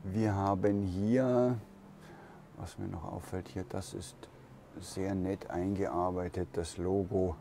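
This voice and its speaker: A man giving a relaxed, unhurried walkaround tour of a camper van, thinking aloud as he goes, recorded inside the van at a trade fair hall. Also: low-pitched, creaky, slight background noise.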